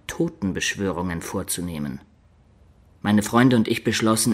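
An actor playing a wistful adventurer who recounts his life in a German radio play, in a measured, expressive storyteller's manner. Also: on the low side, husky. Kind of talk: dramatic first-person narration in German radio play.

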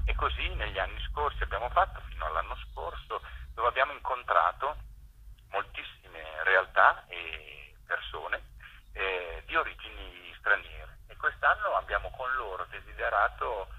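A man, a theatre maker giving a radio interview by phone with emphatic, deliberate phrasing over a muffled line. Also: on the low side, animated, husky.

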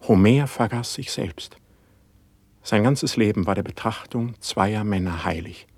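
An actor playing a dreamy young poet in a classical verse radio play, speaking in a lofty, reverent way. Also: male voice, fairly low pitch, a little slow, darkish tone, no room sound. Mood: rapt, yearning. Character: dreamy young male poet, rapt and humble.

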